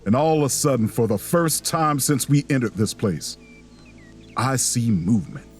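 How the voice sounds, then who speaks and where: An actor playing a wary, folksy traveler who tells his story in an audio drama with a soft drawl. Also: medium pitch, lively intonation, soft background music, natural voice. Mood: hushed dread. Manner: slow, suspenseful first-person narration. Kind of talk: audio drama narration and dialogue.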